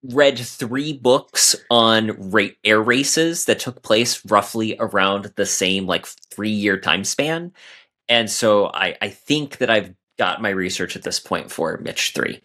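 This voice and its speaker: A man's voice: fairly low pitch, slow pace, sharp tone. A man, an author on a podcast, chatting casually in a matter-of-fact way with dry humor. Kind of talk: casual chat.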